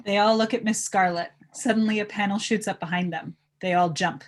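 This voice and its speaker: A woman with a mid-pitched voice narrates a screenplay at a casual table read. She reads the stage directions as scripted narration. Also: fairly even intonation, fairly quick.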